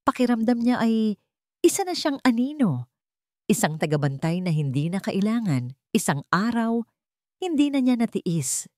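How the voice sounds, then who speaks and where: Female narrator for serial romance drama: soft-toned, expressive, recorded close and dry. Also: fairly high pitch, normal speed, long pauses, no echo. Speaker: storyteller. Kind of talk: dramatic scripted story narration.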